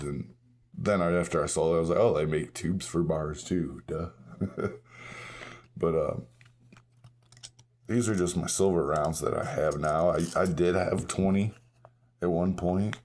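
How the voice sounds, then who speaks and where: Very low, hoarse man vlogging. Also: steady tone, moderate pace, a little mellow. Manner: rambling, self-correcting, chuckles at himself. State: hoarse, partly lost voice. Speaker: coin-collecting vlogger. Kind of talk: casual show-and-tell vlog.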